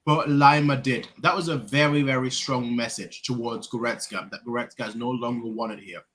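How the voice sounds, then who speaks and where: A young man co-hosting a casual football fan chat, ranting and mocking players in quick bursts. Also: medium pitch, fairly even intonation, a bit bright. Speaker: fan channel co-host.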